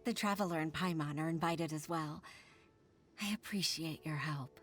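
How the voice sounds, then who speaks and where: A woman's voice: deep voice, moderate pace, natural voice. A woman voicing an earnest middle-aged woman secretary and mother in a video game, who talks hesitantly and sighs often. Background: game music.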